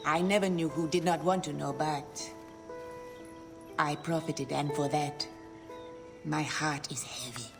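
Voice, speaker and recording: An actress playing a weary, guilt-ridden shopkeeper who speaks in a measured, guarded way with whispered drops in a game cutscene. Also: female voice, low-pitched, steady tone, music in the background.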